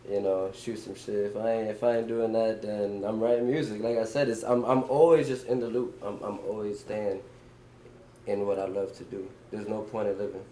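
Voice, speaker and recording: A man's voice: lowish voice, level tone, moderate pace, dark tone, a little hiss or hum. A young man, a guest music artist, chatting casually in an interview with loose slangy talk and a lot of "you know what I'm saying".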